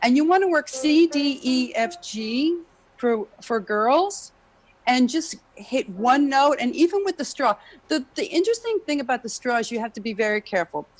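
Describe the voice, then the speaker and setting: A woman's voice: high-pitched, sing-song, normal speed, low-quality recording. A woman, a jazz singer and vocal coach, talks through singing technique in casual chat, explaining at length and leaning on "you know".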